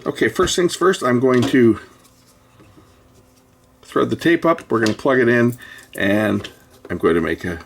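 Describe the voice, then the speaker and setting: A man's voice: mid-range voice, unhurried, creaky, long pauses, a little hiss or hum. A middle-aged man giving casual, hands-on commentary while demonstrating electronics at an indoor workbench. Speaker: presenter of an electronics repair video. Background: music from tape and player.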